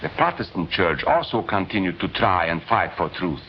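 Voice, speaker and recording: A man playing a grave professor, narrating with measured emphasis in a 1940s educational film. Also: low voice, big pitch swings, a little hiss or hum. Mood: solemn, indignant. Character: grave male professor recounting the rise of Nazism. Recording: dull 1940s film soundtrack. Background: music.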